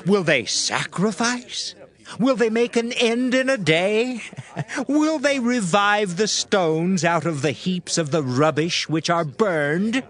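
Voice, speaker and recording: A scornful ancient governor in a Bible audio drama. His voice rides high and drags each taunt out slowly, full of theatrical contempt. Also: expressive, fairly bright tone, heavily acted. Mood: mocking scorn. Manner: taunting, drawn-out theatrical delivery. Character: mocking, wrathful enemy governor jeering at feeble builders.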